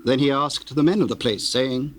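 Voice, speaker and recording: A man's voice: medium pitch, moderate pace. A man narrating a dramatized scripture reading in a solemn, storytelling style. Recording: hissy old cassette tape, birdsong behind.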